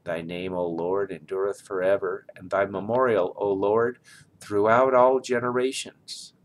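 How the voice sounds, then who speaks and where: A man in his sixties reads Bible verses aloud in a solemn style, with measured, declamatory phrasing, indoors near the mic. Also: fairly low pitch, fairly even intonation. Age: 60s. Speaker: scripture reader. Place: small indoor room, office chair.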